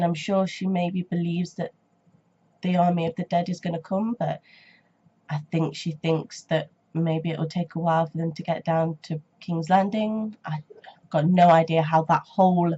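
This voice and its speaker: A woman's voice: fairly low pitch, level tone, normal speed, warm tone. A young woman with a cold and a blocked nose, chatting hesitantly on her reaction vlog with lots of ums and restarts. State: stuffy nose and sore throat from a cold. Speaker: reaction channel host. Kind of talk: casual reaction vlog chat. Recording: dull lo-fi home recording.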